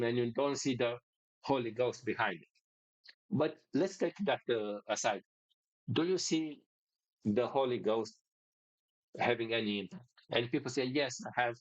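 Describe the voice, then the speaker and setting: Romanian man speaking halting English. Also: mid-range voice, no room sound, muffled recording. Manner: hesitant, many fillers and restarts in non-native English. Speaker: podcast host. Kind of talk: casual monologue.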